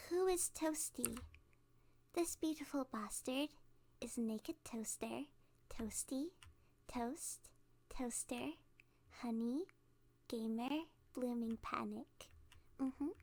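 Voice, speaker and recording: A woman's voice: fairly high pitch, takes it slowly, vocal fry, long pauses, a little mellow, full character voice. A woman playing a cute, nervous, obsessive young female kidnapper in an ASMR roleplay, stammering and giggly as she talks. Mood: hushed, proud.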